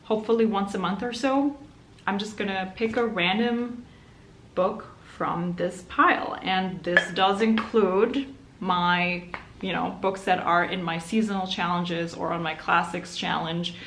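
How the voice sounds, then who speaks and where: A young woman whose first language is German talks chattily about her reading in an upbeat vlog, her voice sitting a little low. She is recorded in an echoey room at home, with a faint rumble behind her. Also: steady tone, measured pace, fairly bright tone. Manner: chatty, upbeat, with light laughs. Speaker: book vlogger.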